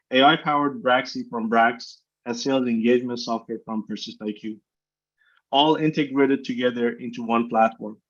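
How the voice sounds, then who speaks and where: Slightly muffled, lo-fi conference audio carries a man with a mid-pitched voice. He presents scripted company updates as chief executive, in a formal read-aloud manner. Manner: formal, reading prepared remarks. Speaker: chief executive hosting the call. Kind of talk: scripted corporate presentation on an earnings call. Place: video conference call. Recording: dull, lo-fi conference call audio.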